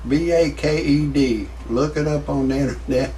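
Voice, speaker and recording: Male, 60s, gravelly and slightly high-pitched, folksy rambling commentator, noisy home recording. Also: warm tone, far from the mic. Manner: folksy, repetitive, halting delivery. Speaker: amateur online video commentator. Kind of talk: rambling off-the-cuff commentary with jokes.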